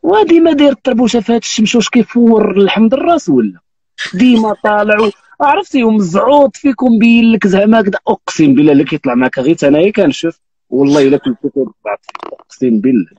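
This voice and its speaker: A man's voice: high-pitched, fast talker, a little mellow, breathy edge, no room sound, dull audio. A Moroccan man in his thirties hosting a live stream, teasing and telling jokes with frequent oaths. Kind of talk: joking casual chat. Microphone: phone on live video call.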